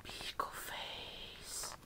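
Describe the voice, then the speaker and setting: A woman filming her pet dog, cooing to it in soft breathy whispers and high sing-song baby talk. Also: drawn-out words.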